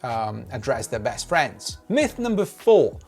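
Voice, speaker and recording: A man, explaining to camera in a home studio with animated emphasis. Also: mid-range voice, unhurried, breathy edge, faint music behind. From Cyprus. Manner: animated, gesturing, rising emphasis on key words. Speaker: educational YouTuber. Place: small decorated home studio, indoors.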